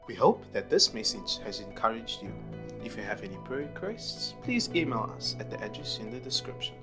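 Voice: medium pitch, big pitch swings, a little fast. Podcast announcer, varied intonation, scripted sign-off, prominent guitar music behind. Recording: music under the voice.

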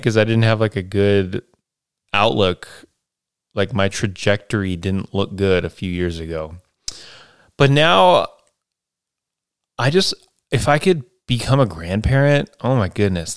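A man in his late twenties talks alone into a close mic, his lowish voice smooth and resonant. The sound is dry and intimate. He rambles and reflects, checking in with little "right?" tags as he goes. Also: unhurried, dry recording. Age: 20s. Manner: rambling, reflective, lots of fillers and tag questions. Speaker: podcast host. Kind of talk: casual solo podcast monologue.

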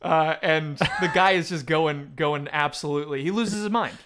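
A man speaking as a podcast panelist in a casual book discussion, retelling things in an animated way. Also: on the high side, moderate pace.

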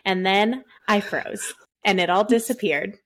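A woman's voice: medium pitch, unhurried, fairly bright tone. A woman from Texas, co-hosting a podcast in a rambling, casual way with lots of fillers. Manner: rambling, laughs often, many fillers. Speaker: podcast co-host.

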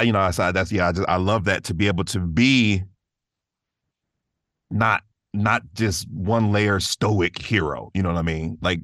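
A man with a low-pitched voice talks casually as an interview guest. He sounds earnest and enthusiastic, phrasing things in a loose, slangy way. The sound is dry, with very little room in it. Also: a bit bright, no echo. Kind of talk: casual conversation.

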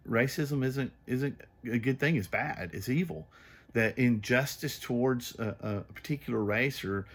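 A man's voice: medium pitch, unhurried, slightly rough. A man in his thirties talking earnestly in a casual faith conversation, repeating words as he searches for them. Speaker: podcast co-host.

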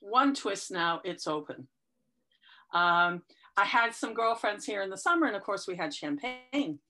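A woman with a slightly stuffy, congested voice, chatting enthusiastically as an interview guest, full of fillers and lively storytelling. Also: medium pitch, a little slow, faint music behind. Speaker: interview guest, fitness client. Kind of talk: casual conversational chat.

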